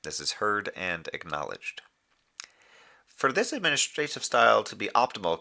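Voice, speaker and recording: A moon base communications officer in an audio drama, a man with a very low voice and a crisp, forceful edge. He delivers official reports in measured, formal phrases. A dry, sardonic streak runs under the dutiful manner. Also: full character voice. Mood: restrained, pointed. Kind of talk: scripted audio drama monologue as a radio report.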